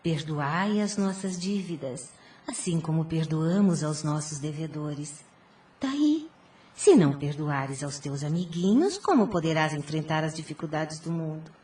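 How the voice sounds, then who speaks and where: A woman playing a devout, gentle, weary mother in an audio drama, speaking formally and earnestly. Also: sits low, expressive, measured pace, far from the mic. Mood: gently admonishing. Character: devout, gentle, ailing mother worn before her time.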